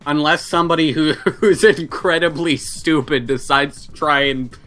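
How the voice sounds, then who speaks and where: A man's voice: a little high, steady tone, moderate pace, a bit bright. A man chatting casually and swearing freely as a player in a tabletop game. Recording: music behind the voice.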